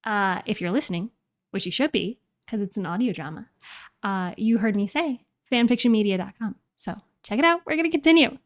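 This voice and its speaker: A woman hosting a livestream, chatting casually and reading out comments in a halting, polite way. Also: medium pitch, lively intonation. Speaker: livestream host.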